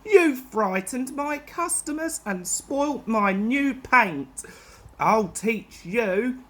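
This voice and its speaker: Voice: mid-range voice, lively intonation, extremely slow, fairly bright tone, voice pushed up. A woman playing a cross fussy barber. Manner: cross, fuming.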